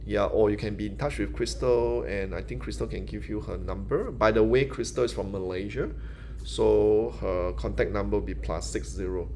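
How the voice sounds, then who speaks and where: A man's voice: fairly low pitch, moderate pace, darkish tone, a little hiss or hum. A Singaporean man with a local English accent, answering questions on a live stream in a chatty, loose teaching style. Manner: chatty, loose phrasing with restarts and "you know". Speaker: manifestation teacher hosting a live Q&A.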